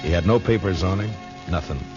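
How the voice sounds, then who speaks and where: An actor playing a steady, laconic American frontier marshal in a terse, understated 1950s radio drama style. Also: male voice, low-pitched, brisk, natural voice. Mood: dry, measured. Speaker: radio drama lead and narrator. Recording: muffled vintage radio recording, music.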